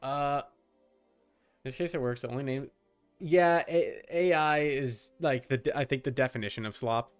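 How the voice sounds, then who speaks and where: A man's voice: medium pitch, normal speed, smooth, no echo, faint music behind. Casual man streamer, clean dry sound. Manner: casual, sweary. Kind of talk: casual livestream chat while making a game character.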